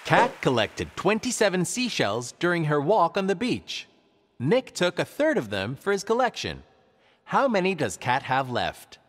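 A man voicing an upbeat cartoon quiz show host in a video game, with a theatrical, drawn-out announcer delivery. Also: a little high, huge pitch swings, fairly bright tone, heavily acted. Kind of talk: scripted game show hosting. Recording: reverberant studio with crowd noise.

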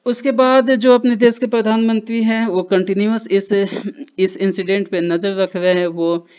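A man's voice: high-pitched, flat delivery, normal speed, a little mellow, smooth. A man giving a loose, conversational explanation over slides, with fillers and restarts. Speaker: educational channel presenter. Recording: dull lo-fi voice-over.

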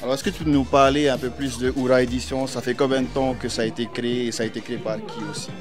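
A man's voice: medium pitch, flat delivery, quick pace, music in the background. A man in his twenties working as a student reporter, interviewing informally on location. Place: busy book fair, outdoors and in booths. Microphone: handheld mic.

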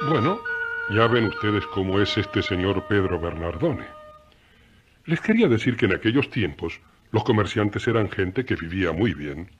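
An actor narrating a radio drama as a genial, husky-voiced storyteller, chatting directly to the listeners. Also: male voice, fairly low pitch, lively intonation, normal speed, dull audio, over music, close to an everyday voice. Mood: genial, confiding. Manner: conversational, addressing listeners directly.

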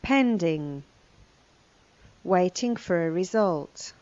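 A woman's voice: fairly low pitch, expressive, very slow, a little mellow, dry recording, muffled recording. A woman giving scripted lesson narration, reading vocabulary and a news report. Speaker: language lesson presenter.

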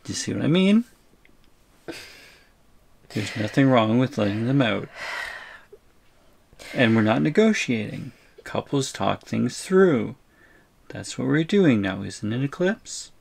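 A man plays an anxious shrunken captive in an audio roleplay. His voice has a gravelly creak and swings widely in pitch. He pleads and placates, trying to reason his way out. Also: big pitch swings, takes it slowly, pauses often, a little mellow, full character voice. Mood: placating.

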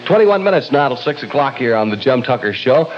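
A man's voice: medium pitch, very animated, a little fast, faint music behind. An American man working as a radio show host, announcing and reading ads in 1960 DJ style. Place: radio studio. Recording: lo-fi 1960 AM radio aircheck, faint hum.

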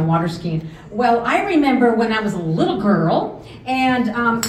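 A middle-aged woman speaking at a memorial with a handheld mic, chatty and rambling. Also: on the high side. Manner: warm, chatty, rambling with fillers. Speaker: family host of memorial. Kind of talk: memorial speech. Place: large event hall, indoors. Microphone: handheld mic through room speakers. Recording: far-off echoey hall, faint noise.

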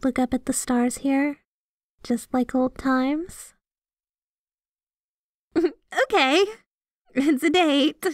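A woman's voice: sits high, extremely slow, pauses often, dry recording, studio quality. A woman playing a sweet, devoted, jealous yandere girlfriend in an ASMR roleplay, speaking with affectionate, playfully possessive manner. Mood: tender, dreamy. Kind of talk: ASMR roleplay, scripted one-sided conversation.

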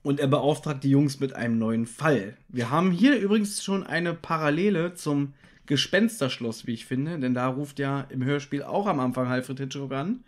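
A man co-hosting a podcast, chatting casually and explaining points with a teasing tone as he reviews an old radio play episode. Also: mid-range voice. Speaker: podcast co-host.

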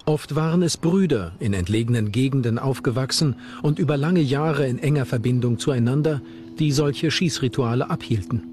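A man's voice: medium pitch, moderate pace, darkish tone, slight background noise. A man reading scripted documentary narration as the narrator. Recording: music under the voice. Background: background music.

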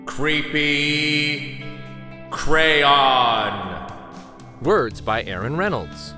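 A man reading a children's story aloud dramatically, with theatrical, suspenseful pauses. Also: on the high side, pushed and bright, soft background music.

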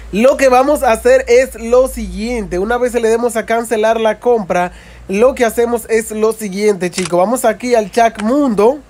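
Male gaming creator, high-pitched voice, casual tutorial voice-over, repetitive step-by-step explaining, informal address to viewers. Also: a little mellow.

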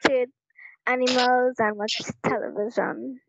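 A woman's voice: on the high side, steady tone, measured pace, gravelly, highs cut off, background noise. A nineteen-year-old woman from Trinidad, introducing herself casually.

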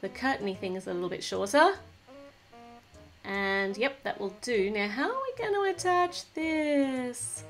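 A woman's voice: a little high, big pitch swings, unhurried, faint music behind. A woman casually narrating a toy build at a table, thinking aloud and gushing over the cute little details.

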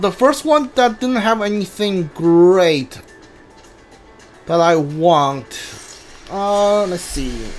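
A game streamer giving casual commentary, thinking aloud haltingly and muttering through lists over game music. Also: mid-range voice. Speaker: streamer playing a video game.

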